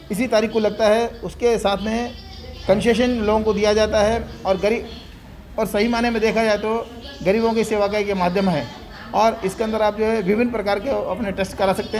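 A man's voice: high-pitched, darkish tone. A middle-aged man making a formal public appeal to camera, often padding his phrases with fillers. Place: indoor clinic room in front of banner.

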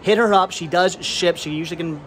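A man in his thirties hosting a casual vlog, chatting enthusiastically and rambling with halting, repeated words. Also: high voice, steady tone, slight background noise. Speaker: vlog host. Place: large indoor flea market and warehouse loading area. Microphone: handheld camera at arm's length.

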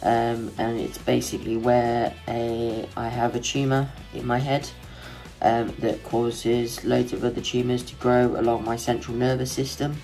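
A man's voice: mid-range voice, little pitch movement, measured pace, creaky, warm tone, faint music behind. A man in his twenties from England, talking plainly and directly on a video call through earbuds, indoors. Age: 20s. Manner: plain, direct talk with fillers. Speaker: interviewee. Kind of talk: casual talk on a video call. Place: indoor room.

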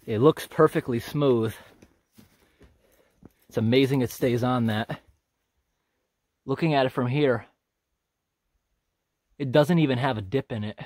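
Um homem gravando com câmera de mão enquanto caminha por uma trilha na floresta, comentando de forma descontraída e observadora o que encontra. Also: male voice, medium pitch, unhurried, pauses often, no echo, studio quality. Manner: relaxed, observant, thinking aloud. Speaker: hiker filming a walking tour. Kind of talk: casual walk-and-talk narration. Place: outdoors on a forest trail. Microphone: handheld camera.

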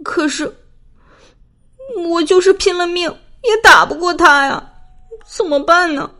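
A woman acts out a young princess for an audiobook drama. She is headstrong and quick to sulk, with a high, girlish pitch. Her manner is fiery yet troubled. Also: bright, forceful tone, heavily acted. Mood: anxious, defiant.

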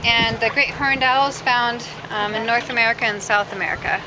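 A woman's voice: fairly high pitch, steady tone, fairly quick. A woman volunteer at a zoo explaining owls to visitors in a patient, casual way with small fillers. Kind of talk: casual outdoor animal talk to visitors. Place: outdoors at a zoo. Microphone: far camera. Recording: loud outdoor noise, camera from a distance.

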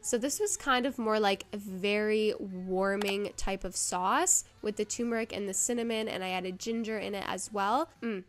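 A woman's voice: medium pitch, normal speed, hardly any pauses, background noise. Chatty young woman with a stuffy nose. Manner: chatty, bubbly, says "like" a lot. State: nasal from a cold. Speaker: vlogger. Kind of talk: casual voice-over narration. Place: home kitchen, indoors.

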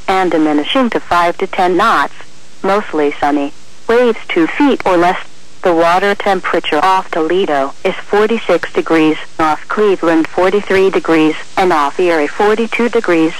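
A man's voice: sits high, rough recording. A man's synthetic voice reading automated weather reports in formal, clipped phrases over weather radio. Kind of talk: automated marine forecast and observations read-out.

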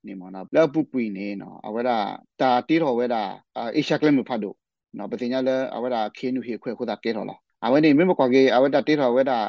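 A man's voice: medium pitch, racing, gravelly, no echo. A man speaking off the cuff in spontaneous commentary on a radio programme. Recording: slightly muffled shortwave radio programme audio.

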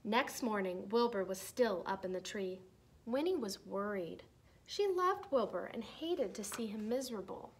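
A woman's voice: mid-range voice, slight background noise, poor audio. Young woman reading a storybook aloud. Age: young adult. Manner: expressive, deliberate phrasing for young listeners. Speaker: teacher reading a picture book aloud to children. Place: small decorated room indoors.

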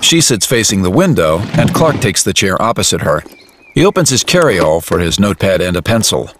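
Low-voiced male narrator, very animated. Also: brisk, background noise. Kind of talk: scripted dramatic audiobook narration. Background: music.

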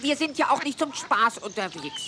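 Gentle young elephant, high-voiced, stammering. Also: big pitch swings, normal speed. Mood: reasoning, encouraging. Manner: hesitant, stammering, full of little ohs and ahs. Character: young flying circus elephant, gentle, eager, easily embarrassed. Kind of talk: children's audio play acting. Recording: heavy noise, old 1980s cassette.

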